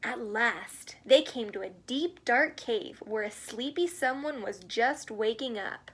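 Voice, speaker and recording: A woman reading a picture book aloud to children in an expressive storytelling style. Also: fairly high pitch, slow pace, slightly rough. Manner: asks the young listeners questions. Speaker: storybook reader.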